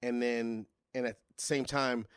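A middle-aged man speaks in a relaxed interview, thinking aloud and stumbling over restarts before landing his point. His voice sits in an ordinary middle register. The sound is close and dry, with no room around it. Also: mid-range voice, clean tone, dry recording. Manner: halting, restarts often, animated when recalling singing. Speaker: guest singer. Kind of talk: casual interview conversation.

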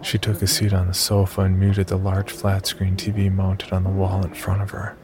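A man playing the uneasy, cautious narrator of a scripted horror story, telling it in a gravelly voice. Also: deep voice, completely flat delivery, normal speed, crowd noise, close to an everyday voice. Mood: tense, measured. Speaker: first-person narrator and lead character.

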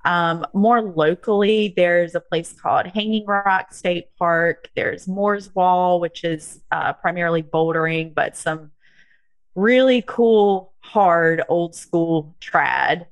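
A woman's voice: fairly low pitch, takes it slowly, no room sound, dull audio. A woman in her thirties from the southern United States with a Southern accent, talking casually as a podcast guest, chatty, with lots of fillers. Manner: chatty, laughs often, lots of um and like. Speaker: guest, strength coach.

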